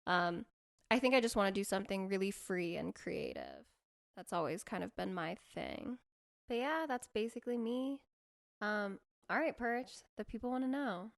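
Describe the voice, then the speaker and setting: Chatty young woman with a smooth voice. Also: medium pitch, normal speed. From Colorado, USA. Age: 20s. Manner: relaxed, chatty, laughs between words. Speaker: podcast co-host. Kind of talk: casual chat.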